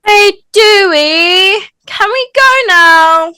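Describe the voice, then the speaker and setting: A woman's voice: extremely high pitch, very slow, pushed and bright, no echo, full character voice. A woman playing a brash, impatient teenage girl in an amateur script read, sassy and whiny.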